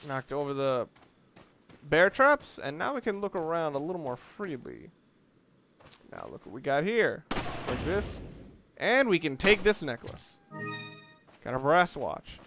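A man's voice: a little high, big pitch swings, measured pace, pauses often, over music. A man giving casual video game commentary, rambling along and thinking aloud as he plays. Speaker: let's play host.

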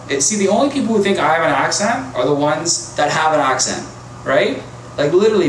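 Chatty man on a noisy echoey livestream. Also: medium pitch. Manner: chatty, reacting to viewer comments. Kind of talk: casual live-stream chat. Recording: echoey with loud ambient noise.

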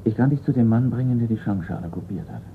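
Secretive informant, male actor: lowish voice, short guarded answers, band-limited radio play sound. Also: fast talker, lightly acted. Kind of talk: German radio crime drama acting. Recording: muffled, slightly noisy radio play recording.

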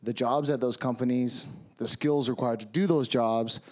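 A man's voice: mid-range voice, moderate pace. Canadian man giving a conference talk. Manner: relaxed and conversational, with frequent fillers. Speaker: conference speaker. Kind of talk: conference presentation. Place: large conference hall with audience. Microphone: handheld microphone.

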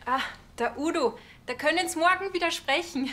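A woman's voice: extremely high pitch, moderate pace, fairly bright tone, a little hiss or hum. An actress playing a chatty, assured female singer in a TV crime comedy. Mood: assured, helpful.

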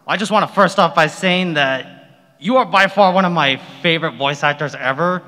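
Man, very high bright voice, effusive fan, informal, roomy hall reverb. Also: moderate pace. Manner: effusive, gushing. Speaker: audience member asking a question. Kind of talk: casual fan talk. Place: large convention panel room. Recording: reverberant hall, audience microphone.

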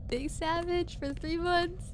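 A woman's voice: very high voice, steady tone, takes it slowly, slight background noise. A woman guest on a game stream chatting casually, teasing and laughing often. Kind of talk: casual gameplay chat.

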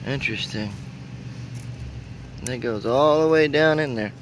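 A man's voice: mid-range voice, a little slow, noisy background. A man narrating a casual travel vlog as he walks outdoors with a handheld camera, exclaiming at what he finds. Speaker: travel vlogger. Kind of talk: casual walking tour narration. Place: outdoors at a spring park.

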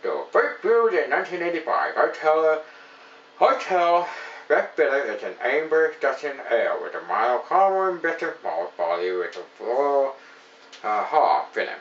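A man's voice: on the high side, very animated, moderate pace, a bit bright, roomy sound, recorded from a distance, lo-fi sound. A man in his thirties from Ontario, reviewing a beer at home in a casual, rambling way, full of "you know" fillers. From Ontario, Canada. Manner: rambling, enthusiastic, many fillers. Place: small home room with shelves of beer.

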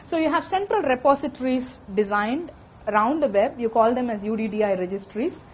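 A woman's voice: fairly high pitch, animated, a little hiss or hum. A middle-aged woman with an Indian accent giving a technical lecture to a room of listeners on a handheld microphone, often checking in with "okay?". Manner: fast-talking, frequent "okay?" check-ins. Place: conference room with audience.

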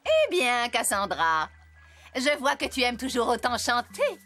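A woman's voice: on the high side, wildly swooping pitch, a little slow, fairly bright tone, faint music behind, full character voice. An actress playing a jealous, wicked witch queen in a cartoon, with a theatrical, mock-sweet delivery. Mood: falsely sweet.

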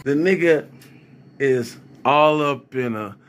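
A man off camera teasing and egging on a friend with quick jokes. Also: on the high side, slight background noise. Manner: teasing, egging on with short interjections. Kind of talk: joking banter from behind the camera.

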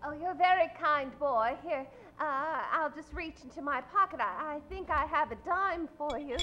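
Very high, fluttery dressmaker lady woman acting. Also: moderate pace, sharp tone, lo-fi sound. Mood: sweet, flustered. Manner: hesitant, fluttery, quavering. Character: fretful, tearful lady dressmaker, sweetly fussy. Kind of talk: old-time radio comedy acting. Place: theatre stage. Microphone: vintage-style stand microphone.

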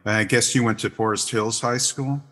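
A man hosting a podcast, asking interview questions in a measured, hesitant way with frequent uhs. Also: medium pitch, steady tone, pushed and bright, in a large hall.

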